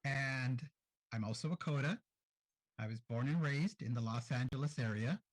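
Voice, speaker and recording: A man with a husky voice speaks on a discussion panel. He introduces himself in a friendly, straightforward way. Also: medium pitch, moderate pace, poor audio.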